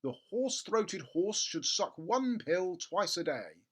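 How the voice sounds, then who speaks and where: A storybook label announcer, acted out by a man, pitched high and declaiming each item slowly. The delivery is formal, pompous and emphatic. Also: sing-song, fairly bright tone, exaggerated voice. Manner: slow, deliberate, declaiming.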